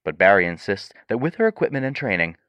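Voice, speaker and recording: A news reporter, lowish voice, dry studio sound, grave and measured scripted delivery. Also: male voice, very animated, clean tone, no room sound, dull audio. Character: sober male TV news reporter on space mission.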